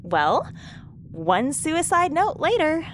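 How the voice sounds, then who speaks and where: A woman's voice: high-pitched, huge pitch swings, slow, exaggerated voice. A woman playing a giggly, teasing alien podcast co-host in an audio drama. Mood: wry. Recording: noticeable background noise.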